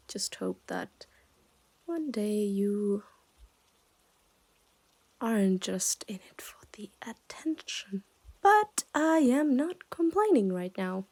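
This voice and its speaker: Girl doing a yandere kidnapper for asmr, mellow n slow, possessive, teasing, half whispered, softly menacing. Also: mid-range voice, husky, exaggerated voice. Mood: teasing, satisfied. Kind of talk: ASMR roleplay, addressed to the listener.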